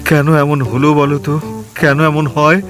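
An actor playing a young, newly married husband who stammers and fusses in an audio drama. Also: male voice, on the high side, fairly even intonation, normal speed, over music, lightly acted. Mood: tender.

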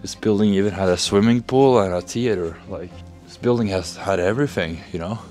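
A man's voice: on the low side, fairly quick, a little mellow, soft background music. A young man hosting a casual exploring vlog, thinking aloud with fillers, filmed on a handheld camera in a large, cold, empty building. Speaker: urban explorer host. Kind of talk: casual on-location vlog talk.

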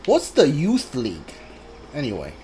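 A streamer with a middling pitch plays through a video game. The delivery is informal, switching between reading dialogue aloud and muttered asides. Game ambience runs behind the voice. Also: wildly swooping pitch, a little slow, mellow, a little hiss or hum. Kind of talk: casual gameplay commentary, reading on-screen dialogue aloud.

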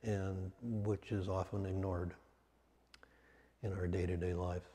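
A man leading a guided meditation as a teacher, speaking calmly and off the cuff in short, measured phrases with long pauses. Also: deep voice. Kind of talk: guided meditation, spoken slowly and unscripted. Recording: long reverberant hall sound.

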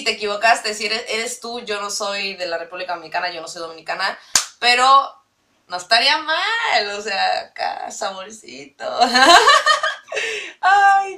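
Giggly, bright young Mexican woman. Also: on the high side, distant mic. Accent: mixed Latin American Spanish with Mexico City base. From Mexico City. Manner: chatty, giggly, rambling with many fillers. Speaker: vlogger. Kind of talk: casual chat to camera. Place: small bedroom indoors.

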